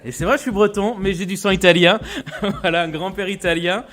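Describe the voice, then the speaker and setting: A man from Brittany preaching as a guest pastor in a church, telling his testimony with frequent repeated words and earnest pauses. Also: high-pitched, steady tone, a bit bright. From Brittany, France. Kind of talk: sermon with personal testimony. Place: church sanctuary with mezzanine.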